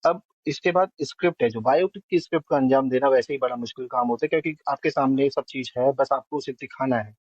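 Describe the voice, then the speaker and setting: A man's voice: medium pitch, fast, hardly any pauses, breathy edge, dry recording. A man giving a spoken film review as a panelist, going through it point by point. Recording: dry, slightly dull lo-fi audio.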